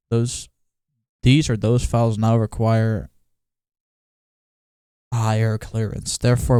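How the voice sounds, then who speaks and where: A man's voice: mid-range voice, mellow, no room sound, clean studio sound. A man reading on-screen text aloud and reacting casually as he goes. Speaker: YouTuber reacting to horror videos. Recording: noticeable background noise.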